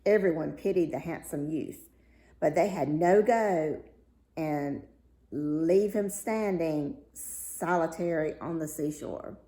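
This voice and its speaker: Woman reading a storybook out loud, takes it really slow, soft-edged tone, echoey room. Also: lowish voice. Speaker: story reader. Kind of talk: fairy tale read-aloud narration. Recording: roomy, echoing sound.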